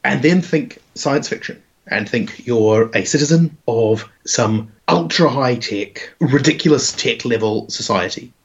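A man with a croaky, slightly rough voice talks casually, letting ideas build through long, rambling setups. His pitch sits in an ordinary middle range. The podcast audio is dull and lo-fi. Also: measured pace, hardly any pauses. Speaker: podcast co-host. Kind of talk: casual chat pitching a game idea.

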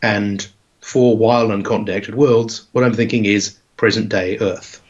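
A man with a croaky voice in casual chat, laying out a game idea with long, rambling setups. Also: fairly low pitch, steady tone, a little slow. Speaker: podcast co-host. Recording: dull lo-fi podcast audio.

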